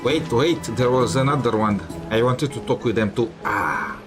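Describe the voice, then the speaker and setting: A man's voice: medium pitch, normal speed, music in the background. A man giving casual gameplay commentary with wry, amused asides. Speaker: streamer playing a game.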